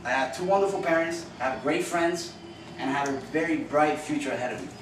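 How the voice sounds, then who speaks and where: A man's voice: a little high, quick pace. A young man from Cuba giving an earnest, informal classroom speech as a college student, often repeating and restarting phrases. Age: young adult. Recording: echoey room from far off with noise.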